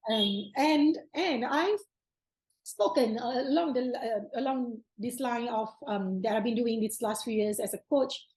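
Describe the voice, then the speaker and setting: A young adult woman hosting an interview over a video call, speaking in an effusive, gesturing way with many restarts, from a bright room at home. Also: a little high, measured pace, poor audio. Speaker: podcast host.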